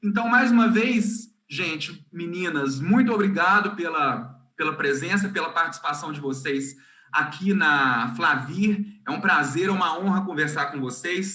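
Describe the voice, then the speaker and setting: A man hosting an online book launch panel, introducing guests and welcoming the audience with a quick presenter's delivery. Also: high voice, sharp tone. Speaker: host. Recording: roomy video call audio.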